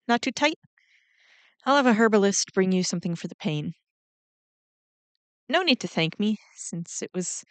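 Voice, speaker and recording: Woman as kindly ant queen for roleplay audio: mid-pitched, gentle, hesitant, rambles a little, close dry sound. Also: no echo, natural voice. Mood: apologetic, welcoming. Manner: gentle, hesitant, rambling with small nervous laughs. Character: kindly, flustered giant four-armed ant queen. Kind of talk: scripted roleplay audio drama, addressing the listener.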